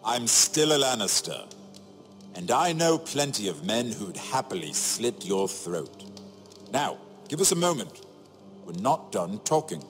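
An actor playing a wry, shrewd middle-aged imprisoned nobleman in a video game, sardonic and theatrical, phrasing each remark deliberately. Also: lowish voice, very animated, fairly bright tone. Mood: stern warning. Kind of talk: dramatic video game dialogue. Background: music.

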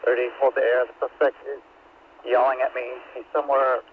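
A man's voice: medium pitch, level tone, a little fast. A man working as a police officer, giving terse procedural reports over police radio. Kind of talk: police scanner radio traffic. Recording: noisy narrow-band police scanner radio.

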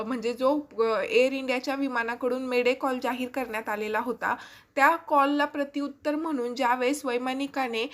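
Woman, high voice, YouTube presenter, explanatory news narration. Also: a bit bright.

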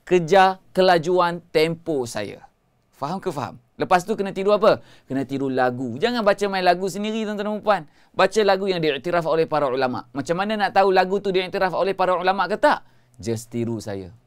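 A man's voice: high-pitched, lively intonation, brisk, smooth. A Malaysian man in his thirties hosting a live-streamed Quran lesson as its coach. He speaks emphatically, repeats his key phrases, and gestures as he talks. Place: studio before a green-screen hedge backdrop.

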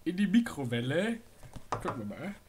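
A dim, easily distracted chancellor, acted by a man in an improvised radio parody. He talks simply and repeats himself in a slightly high male voice, over a faintly noisy recording with clicks. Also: very animated, normal speed. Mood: blunt. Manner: simple, repetitive, casual. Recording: faint background noise with clicks.

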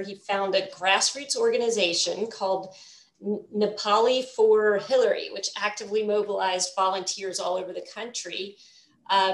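Female host on a video call, friendly, roomy echo. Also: medium pitch. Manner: friendly, reads the introduction with restarts. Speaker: interview host, moderator. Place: room, indoors.

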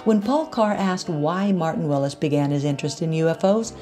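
A woman hosting a podcast, reading a scripted case report and introduction in a measured, earnest way. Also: low voice, dark tone, soft background music. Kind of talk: scripted narration.

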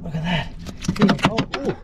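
An Australian man in his thirties talks over a high, lively voice as he fishes from a kayak, exclaiming often at each catch. He's recorded outdoors on an action camera, with loud wind and water noise all around. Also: normal speed. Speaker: fishing vlogger. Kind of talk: excited fishing commentary. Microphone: action camera on the boat.

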